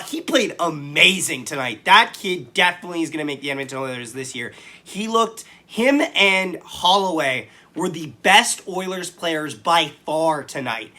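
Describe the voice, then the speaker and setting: Bright, scratchy-voiced man hosting sports recap. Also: a little high. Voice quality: slightly scratchy voice. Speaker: sports podcast host. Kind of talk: hockey game recap commentary to camera.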